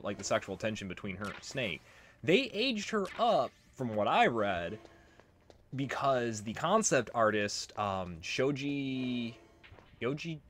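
A man's voice: mid-range voice, a bit bright, a little hiss or hum. A man giving casual commentary as he plays through a video game. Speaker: Let's Play commentator. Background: game music.

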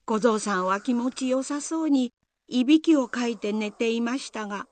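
A woman's voice: fairly high pitch, moderate pace, a little mellow, a little hiss or hum. A woman narrator telling a scripted folk tale in a storytelling style.

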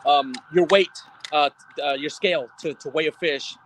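A man's voice: fairly high pitch, fairly even intonation, normal speed, fairly bright tone, slight background noise. A man on a video call showing his fishing gear outdoors at night, rambling with lots of "um" and casual swearing. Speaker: guest angler.

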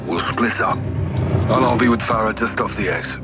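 A military captain voiced by a man from a cinematic war game. He is gruff and unflappable, deep-voiced and sparing with words, with loud music underneath. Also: moderate pace, natural voice. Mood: grim, businesslike.

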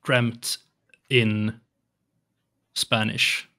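Young man from Sweden, native Swedish speaker, hesitant, halting English, studio mic at home. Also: on the low side, flat delivery, sharp tone. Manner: hesitant, many restarts and ums. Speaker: podcast guest. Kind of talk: casual interview chat. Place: home room with shelves and colored lights. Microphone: large studio mic on arm, headphones.

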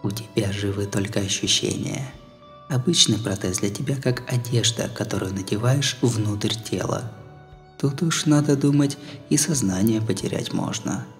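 A male narrator plays a cool, knowing man from an audiobook. He speaks quietly and with measured care, insinuating more than he states. Music plays behind the voice. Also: lowish voice, in a large hall, close to an everyday voice. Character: cool, knowing male prosthetic keeper in an underground room. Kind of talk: audiobook character voice.

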